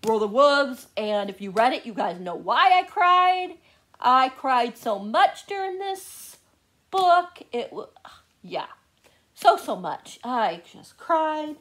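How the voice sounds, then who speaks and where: A woman with a fairly high voice talks in a casual, chatty vlog style. She gushes as she goes, doubling up words for emphasis and speaking straight to her viewers. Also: animated, slow pace, fairly bright tone. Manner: gushing, repeats words for emphasis. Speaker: book vlogger.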